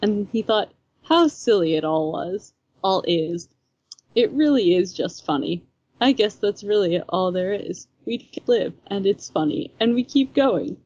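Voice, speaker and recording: A woman co-hosting a podcast, reading comic fan fiction aloud casually in a husky, slightly breathy voice. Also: medium pitch, expressive, unhurried, a little mellow, no echo, lo-fi sound.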